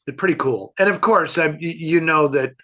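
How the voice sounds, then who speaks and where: Older American man, relaxed conversational storyteller. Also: fairly high pitch, moderate pace. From San Francisco area, United States. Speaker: co-host answering fan questions. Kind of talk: casual chat, reminiscing.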